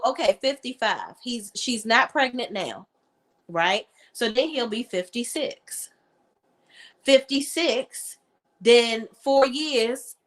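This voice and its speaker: Chatty woman with a drawl. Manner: chatty, acts out quotes in a lowered voice, colloquial. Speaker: co-host of a reality TV opinion panel. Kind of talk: casual chat.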